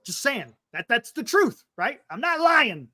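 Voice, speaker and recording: A man's voice: extremely high pitch, sing-song, measured pace, sharp tone, no room sound, studio quality. A man in his fifties hosting a casual sports talk stream, speaking emphatically and repeating his points. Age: 50s. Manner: emphatic, repeats himself, calls people "man". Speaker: sports talk show host.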